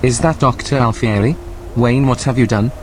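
A man's voice: medium pitch, slight background noise, natural voice. Man playing a stiff, officious AI overseer. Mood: stern, puzzled. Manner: formal, measured, precise wording. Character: stiff, officious male artificial intelligence overseer. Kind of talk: scripted audio drama acting.

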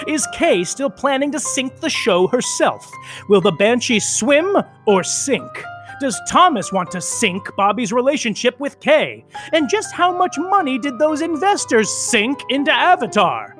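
A man's voice: extremely high pitch, fairly bright tone. A man narrating an audio soap opera and reading its credits in a hammy, mock-dramatic announcer manner. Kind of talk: theatrical scripted narration and credits.

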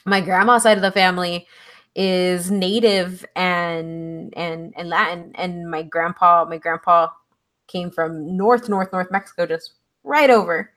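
A woman's voice: on the low side, fairly even intonation, measured pace, a bit bright. Earnest woman from Texas chatting. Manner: earnest, frequent "like" fillers and restarts. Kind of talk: casual conversational chat.

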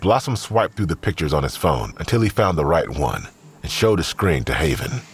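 A man narrating an audio drama as a sober storyteller, with a raspy voice. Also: extremely low pitch, big pitch swings, normal speed, slight background noise, exaggerated voice. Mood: sober, descriptive.

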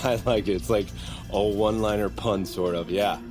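An actor playing an excitable, goofy would-be action hero in an audio drama, slangy and enthusiastic. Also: male voice, on the low side, normal speed, over music, lightly acted. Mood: gung-ho, playful.

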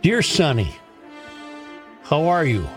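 A man hosting a radio show, reading aloud and chatting in a deliberate, picky way, spelling things out. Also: a little high, big pitch swings, soft background music.

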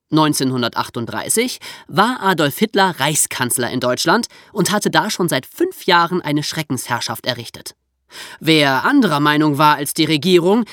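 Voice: mid-range voice, fairly bright tone, no room sound, studio quality. A podcast host narrating from a script in an explanatory storytelling way, with conversational asides.

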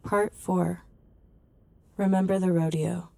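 A woman voicing a polite, unflappable AI assistant in an audio drama, even and detached. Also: on the low side, slow, vocal fry. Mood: neutral, polite.

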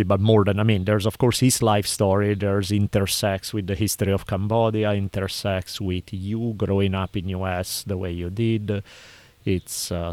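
A man with an Italian accent hosts a relaxed podcast interview, rambling through his questions and laughing easily. His voice sits low. The sound is dry and close. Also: dry recording. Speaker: podcast host. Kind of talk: casual interview chat.

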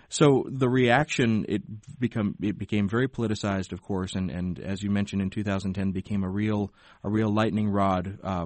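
Radio host, male, lowish voice, measured and thoughtful, dry booth sound. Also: a little mellow, no echo. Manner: measured, thoughtful questions with restarts. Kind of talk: public radio interview.

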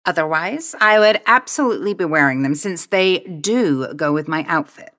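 Casting: female narrator as gushing young woman tourist for audiobook romance, pert, excitable, effusive delivery. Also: mid-range voice, moderate pace, slightly rough. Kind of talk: audiobook character dialogue.

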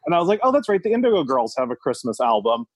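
A man's voice: mid-range voice, sing-song, very fast, breathy edge, no echo. A man from the United States, a podcast guest, telling a personal story in a casual, thoughtful, somewhat hesitant conversational way.

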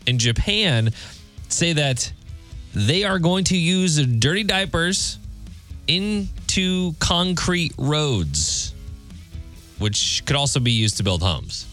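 A male radio host with a bright, forceful voice and very lively pitch. He talks casually and jokes his way through quirky news items, with light music behind him. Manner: jokey, conversational.

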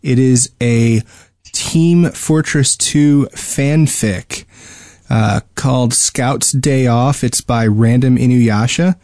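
A man's voice: mid-range voice, slow, darkish tone, no echo, clean studio sound. A man co-hosting a gaming podcast in casual chat, talking hesitantly and often repeating words mid-sentence. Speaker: podcast co-host.

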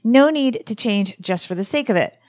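A woman's voice: on the high side, animated, moderate pace, darkish tone, no echo, clean studio sound. A woman in her forties hosting a podcast, chatting casually and giving upbeat wrap-ups. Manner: upbeat, plugging and summing up. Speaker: podcast host.

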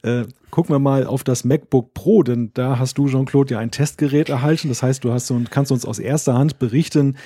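A man from northern Germany hosting a tech podcast, calm, measured and understated as he moderates. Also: mid-range voice, dry recording, clean studio sound. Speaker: podcast host and webmaster.

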